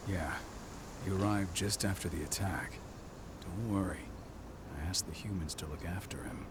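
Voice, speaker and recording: Stern, deep-voiced pack leader. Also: male voice, moderate pace. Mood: gruff, practical. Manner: blunt, authoritative. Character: stern, bitter male werewolf pack leader. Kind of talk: video game cutscene acting. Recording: loud ambient rumble behind.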